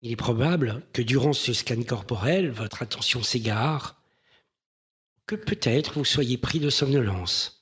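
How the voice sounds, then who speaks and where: Husky-voiced man guiding a meditation. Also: mid-range voice, animated. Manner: gentle, measured, unhurried phrasing with pauses. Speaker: meditation guide. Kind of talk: guided mindfulness meditation, instructional.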